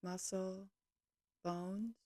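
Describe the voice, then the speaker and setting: A woman leading a guided yoga nidra meditation, speaking calmly and gently in slow, measured short phrases. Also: medium pitch, no echo, clean studio sound.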